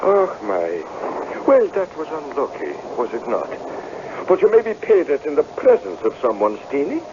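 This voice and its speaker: An actor playing a stern, coldly mocking laird in an old-time radio drama, measured and sardonically polite. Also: male voice, a little high, sing-song. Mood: sardonic. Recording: dull, faintly noisy vintage radio recording.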